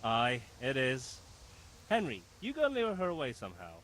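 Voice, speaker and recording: A man's voice: mid-range voice, wildly swooping pitch, normal speed, fairly bright tone, slight background noise, poor audio. An actor playing a cocky young village troublemaker who leads his mates, in a video game cutscene. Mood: scheming.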